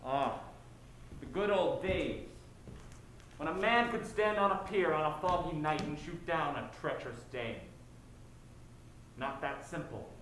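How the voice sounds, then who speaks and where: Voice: medium pitch, a little slow, pauses often, a bit bright, lightly acted. Young performer as a brooding ghost of a male gumshoe. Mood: wry, subdued. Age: teenager. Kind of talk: high school stage play acting. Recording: echoey stage, faint noise.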